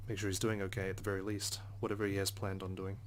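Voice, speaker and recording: A man reading visual novel narration aloud as a let's play host, subdued, with hushed asides. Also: low-pitched, monotone, quick pace, slight background noise. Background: soft guitar music.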